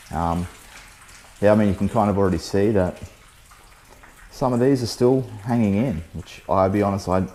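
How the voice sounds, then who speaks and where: A man hosting a car detailing vlog, talking casually about a product test while losing his voice, a little hoarse, with plenty of "um" and "so yeah". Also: low-pitched. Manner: relaxed, chatty, frequent "um" and "so yeah". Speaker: car detailing channel host. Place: outdoors beside a test panel.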